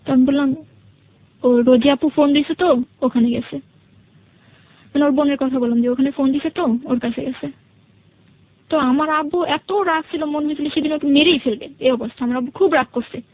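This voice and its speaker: A woman with a notably high voice and a Bangladeshi accent tells a personal story as a radio guest. She speaks colloquially and haltingly, often circling back over her own phrases. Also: narrow, tinny audio. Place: radio studio.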